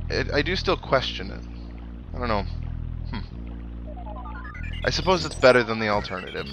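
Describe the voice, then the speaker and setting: A young man giving casual, rambling video game commentary with reflective asides. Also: on the low side. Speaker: let's play host. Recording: voice-over mixed with game music. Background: video game music.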